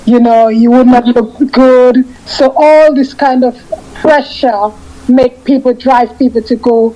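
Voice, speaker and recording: A woman in her fifties chats as a radio guest in a high, mellow voice. She stops and restarts often, repeating words as she gathers her thoughts. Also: fairly even intonation, low-quality recording. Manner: halting, repeats and restarts phrases. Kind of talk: casual radio interview chat.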